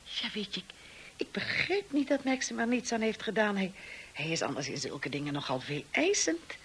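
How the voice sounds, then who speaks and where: A woman playing a blunt, upper-class sister-in-law in a radio drama, candid, brisk and probing. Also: medium pitch, sing-song, a bit bright, breathy edge, full character voice. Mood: confiding.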